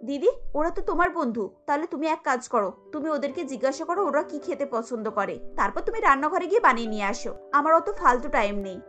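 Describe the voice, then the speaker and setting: A woman voicing a spirited, sharp-tongued young bride who answers back firmly in a cartoon. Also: sits high, lively intonation, quick pace, hardly any pauses, faint music behind. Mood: defiant. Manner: firm, retorting.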